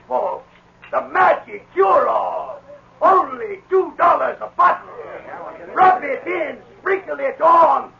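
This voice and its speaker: Brash old-time medicine show barker, super high pitched, folksy sales patter, muffled radio recording. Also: male voice, creaky, sharp tone, a little hiss or hum, full character voice. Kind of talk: 1940s radio acting.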